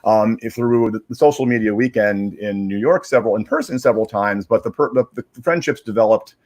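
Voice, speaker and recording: A man chatting casually on a livestream, explaining in a halting, rambling way and repeating words as he searches for phrasing. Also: lowish voice, a little mellow. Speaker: digital media strategist, guest reader.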